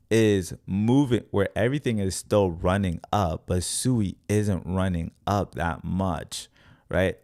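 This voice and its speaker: A man's voice: low-pitched, darkish tone, no room sound, clean studio sound. A man born in Congo, hosting an explanatory market chart commentary, repeating his points emphatically and often checking in with listeners. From born in Congo, Africa. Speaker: host, crypto trading educator.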